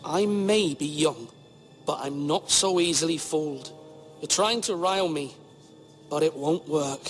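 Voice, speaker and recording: A man's voice: on the high side, unhurried, faint music behind, lightly acted. An actor playing an earnest, dutiful young boy lord trying to sound brave in a fantasy game drama. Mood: guarded resolve. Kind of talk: dramatic video game acting.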